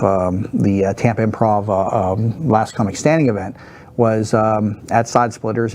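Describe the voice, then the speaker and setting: A man in his thirties sits at a studio desk and explains the basics of stand-up comedy straight to camera. His voice is somewhat low, dark and mellow. He speaks in a flat monotone and rambles, full of fillers and false starts. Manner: rambling with many fillers and restarts. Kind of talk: unscripted talk to camera. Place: studio set with a wooden backdrop, seated at a desk.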